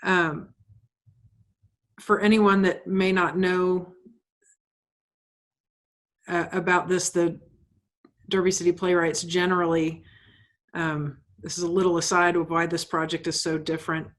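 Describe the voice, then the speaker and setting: A woman's voice: fairly low pitch, level tone, measured pace, long pauses. A woman playwright explaining her project informally, often rephrasing as she asks for feedback.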